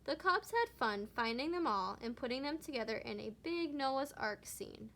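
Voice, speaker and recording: A woman reading a children's story aloud, lingering deliberately on certain words. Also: high voice, animated, sharp tone. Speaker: storybook reader. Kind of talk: children's read-aloud narration.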